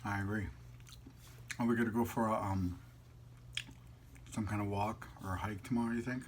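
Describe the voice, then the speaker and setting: A man's voice: on the low side, flat delivery, normal speed. Playful man chatting, with noisy background. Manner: playful, jokey, slangy. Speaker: mukbang vlog host. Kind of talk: casual chat while eating on camera.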